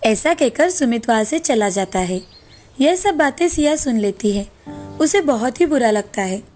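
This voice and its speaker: A woman's voice: fairly high pitch, expressive, normal speed, a little mellow, soft background music. A woman storyteller reading scripted moral-story narration.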